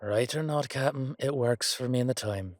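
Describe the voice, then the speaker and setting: A man with an Irish accent playing a hot-headed, loyal knight in a roleplay audio drama, gruff and colloquial with a wry streak. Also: mid-range voice, normal speed, no room sound, close to an everyday voice. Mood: wry, cautious.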